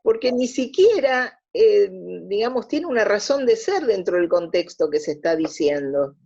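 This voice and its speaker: A woman's voice: medium pitch, animated, normal speed, a little mellow, lo-fi sound. An Argentine woman talking as an interview guest, explaining her opinions and often rephrasing mid-sentence. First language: Spanish. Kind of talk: conversational radio interview.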